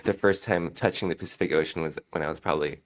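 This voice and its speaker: A man in his thirties from Hong Kong, a financial advisor, chatting as a guest in a relaxed, friendly way. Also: very deep voice, fast. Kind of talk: casual podcast chat.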